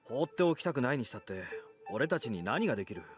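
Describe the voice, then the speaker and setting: A man's voice: medium pitch, very animated, very fast, soft background music, lightly acted. An actor playing a level-headed, wry young man, casual and skeptical, in a Japanese visual novel.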